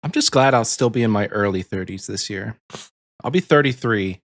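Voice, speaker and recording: A man in his early thirties co-hosting a podcast, easygoing and quick to laugh. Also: on the low side, no room sound, clean studio sound. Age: 30s. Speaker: podcast co-host. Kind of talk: casual chat.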